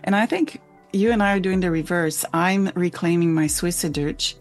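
A woman's voice: fairly low pitch, moderate pace, soft background music. A woman who grew up in Switzerland and lives in Canada, hosting a thoughtful, casual conversation.

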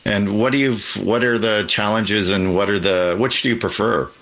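Man, interview host, very low voice, halting casual questions. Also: fairly even intonation, fairly bright tone, poor audio. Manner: halting, filler-heavy questions.

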